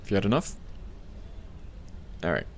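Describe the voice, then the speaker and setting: A man playing a tender, teasing partner in a soft-spoken ASMR roleplay, soothing and gentle as he helps a loved one study. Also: moderate pace, slight background noise, lightly acted. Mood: playful, soothing. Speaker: ASMR voice actor. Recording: faint background library ambience.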